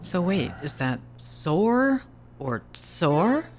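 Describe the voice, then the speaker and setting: A woman's voice: fairly low pitch, big pitch swings, extremely slow, noisy background, exaggerated voice. A young woman playing a teasing, caring bandmate.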